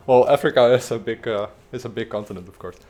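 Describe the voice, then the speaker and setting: A man from the Netherlands, chatting casually and haltingly while livestreaming a game. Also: mid-range voice. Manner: relaxed, halting, many fillers. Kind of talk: casual gameplay commentary.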